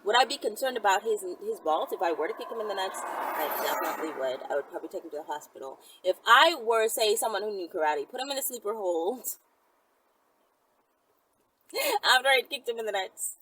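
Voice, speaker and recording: A woman's voice: mid-range voice, lively intonation, a little fast, fairly bright tone. A woman hosting a casual question-and-answer video, speaking in a frank, conversational and emphatic way. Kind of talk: casual chat. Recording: distant mic with clear background noise.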